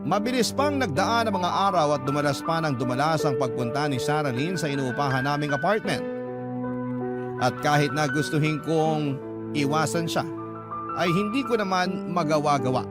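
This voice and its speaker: A man's voice: fairly high pitch, moderate pace. A man narrating a first-person story as a radio drama host, in a scripted storytelling style. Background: loud background music.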